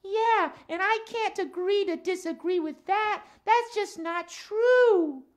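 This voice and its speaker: A man voicing an earnest, principled female cat puppet for a children's show, with a halting, repetitive way of talking. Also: very high voice, voice pushed up. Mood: indignant.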